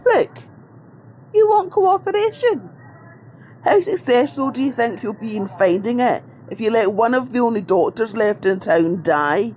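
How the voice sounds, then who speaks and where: A woman voices a defiant prisoner in an audio drama. Her fairly high voice is forceful and unafraid as she stands up to her captors. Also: slight background noise, low-quality recording. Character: defiant, fearless woman held prisoner by invaders.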